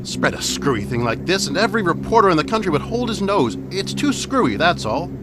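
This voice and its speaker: A man's voice: high voice, sing-song, brisk. An actor playing a cynical Hollywood publicity man, dry, slangy and dismissive, in a classic 1940s-style radio drama. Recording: loud engine noise behind.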